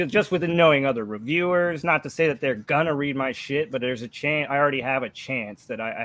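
A man's voice: medium pitch, a bit bright. A man chatting casually as a channel host, swearing freely and talking in a self-deprecating way. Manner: profane, laughs easily. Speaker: book review channel host and aspiring writer. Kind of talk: casual chat.

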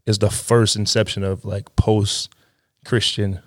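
A man in his thirties explains ministry ideas in casual conversation as a guest. He agrees readily and adds quick affirmations while others speak. His voice is on the low side, recorded close and dry. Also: little pitch movement, no room sound. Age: 30s. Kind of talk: casual chat.

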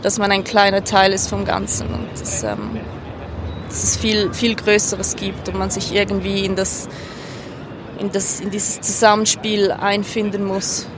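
A woman giving reflective, halting answers in a spontaneous interview recorded outdoors on a street. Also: mid-range voice, lively intonation. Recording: clear outdoor street and traffic noise. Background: urban outdoor ambience.

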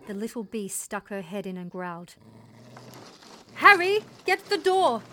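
A woman's voice: medium pitch, fairly even intonation, fairly quick, slight background noise, lightly acted. A woman playing a resolute young settler mother, telling her story in intense, dramatic first-person narration. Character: resolute young settler mother recounting a wild dog attack.

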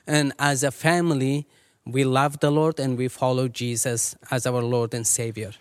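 A man from Afghanistan who leads a ministry, speaking earnestly and measuredly as an interview guest with many pauses and fillers. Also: medium pitch, little pitch movement, smooth, no echo, studio quality. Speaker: ministry leader.

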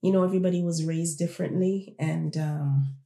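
Gravelly, mellow-voiced podcast host. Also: medium pitch, fairly even intonation, fairly quick, echoey room. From born in Jamaica, raised in Toronto. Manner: reflective, conversational, addresses listeners directly. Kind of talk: casual solo podcast talk.

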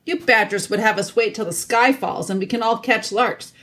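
A woman's voice: on the high side, normal speed, a bit bright, pitched above the performer's own voice, lightly acted. A woman voicing an angry, sarcastic male dwarf who snarls scornfully in a storybook reading.

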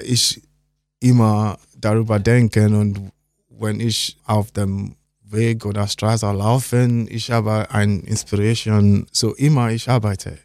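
Man, slow halting German, dark voice. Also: lowish voice, no echo, clean studio sound. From Nigeria. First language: English. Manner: halting, simple German mixed with English. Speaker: guest, fashion designer. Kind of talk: interview answers. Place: podcast studio.

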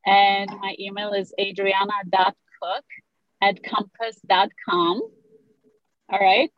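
A woman's voice: medium pitch, level tone, slow pace, dry recording. Romanian-born woman broker, earnest and self-correcting, dry muffled recording. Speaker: real estate broker, guest. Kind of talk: conversational interview. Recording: dull lo-fi sound.

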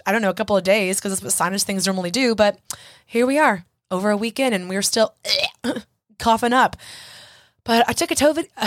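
A young woman hosting a solo podcast, chatty and self-mocking, her voice husky and a little hoarse from congestion. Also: medium pitch, no room sound, studio quality. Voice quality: husky, raspy. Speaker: podcast host.

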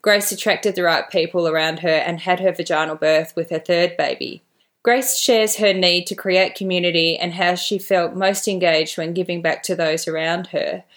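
An Australian woman hosting a podcast, reading a measured scripted introduction and asking interview questions. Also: on the low side, fairly even intonation, a bit bright. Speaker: podcast host.

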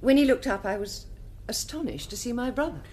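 A woman's voice: on the high side, lively intonation, brisk, warm tone, slight background noise, natural voice. An actress playing a fragile, guarded young upper-class English lady witness in a British radio drama, speaking hesitantly.

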